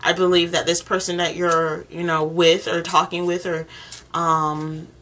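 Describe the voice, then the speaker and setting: Bright-voiced woman giving a chatty tarot reading. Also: on the low side, level tone, measured pace. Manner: informal, chatty, encouraging. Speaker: tarot reader, channel host. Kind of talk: role-play tarot reading addressed one-to-one to the viewer.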